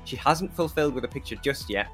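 A man's voice: mid-range voice, expressive, quick pace, a bit bright. Brief: Newcastle-accented male podcast host, chatty storyteller, faint music underneath. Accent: Newcastle English. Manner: chatty, storytelling, enthusiastic praise. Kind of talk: casual podcast chat.

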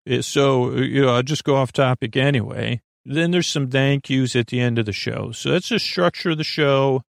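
A man with a gravelly, creaky voice talks as a podcast host in a loose bedtime monologue. His pitch sits in the middle of the male range, and the sound is close and dry. He meanders, stops short and restarts as he wanders into side thoughts. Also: no echo. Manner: meandering, halting, self-interrupting with trailing tangents. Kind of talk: rambling, informal bedtime monologue.

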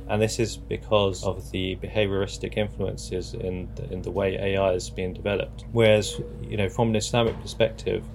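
A man giving measured, reflective answers as an interviewed academic expert, in formal scholarly wording. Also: lowish voice, creaky. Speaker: interviewed expert on religion and technology.

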